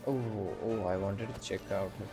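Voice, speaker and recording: A man's voice: fairly low pitch, fairly even intonation, normal speed. A man giving casual, blunt gameplay commentary in a muttering, sweary way. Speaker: gamer commentating on play. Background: game music.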